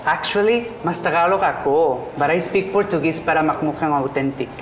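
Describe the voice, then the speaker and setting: An actor playing a suave middle-aged businessman, smooth and showy, who sprinkles in foreign phrases. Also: on the high side, a little fast, echoey room, a little hiss or hum, close to an everyday voice, rough recording. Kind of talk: sitcom acting.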